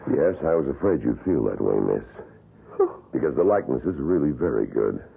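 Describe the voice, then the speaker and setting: An actor playing a grim male wax museum guide who tells the story in a measured, ominous way in a 1970s radio drama. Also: extremely low pitch, very animated, gravelly, husky, heavily acted. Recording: tinny lo-fi radio broadcast.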